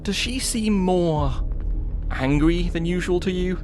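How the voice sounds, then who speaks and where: Man playing a higher-voiced, tentative detective. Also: a little slow, darkish tone, soft background music. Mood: concerned. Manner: tentative, questioning. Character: Kinsey, hesitant, questioning, level-headed male police detective. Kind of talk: audio drama acting.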